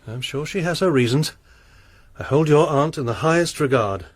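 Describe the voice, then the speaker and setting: Creepy religious lodger, brooding and formal, dry sound. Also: male voice, fairly high pitch, expressive, normal speed, breathy edge, no echo. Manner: formal, sermonizing, hesitant. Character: sinister pious male lodger, troubled and brooding. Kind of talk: radio drama acting.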